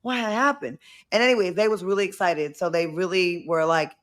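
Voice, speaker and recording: A woman's voice: fairly low pitch, brisk, fairly bright tone. A woman with a New England accent, chatting casually and laughing at herself as a co-host. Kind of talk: casual chat.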